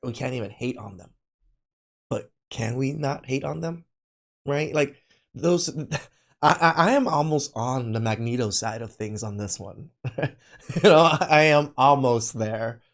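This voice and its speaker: A man from Cambodia hosting a reaction podcast, commenting casually and earnestly, often repeating himself. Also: mid-range voice. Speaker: podcast host reacting to a video.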